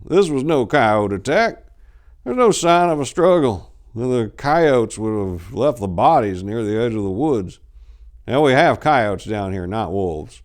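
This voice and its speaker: A man's voice: medium pitch, expressive, measured pace, lightly acted. Man playing a worried, insistent old farmer. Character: elderly farmer husband, worried but steady.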